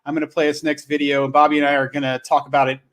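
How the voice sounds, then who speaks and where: A man hosting a casual live-stream conversation, speaking earnestly and haltingly, often repeating his words as he goes. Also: on the high side, completely flat delivery, fairly bright tone. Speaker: host.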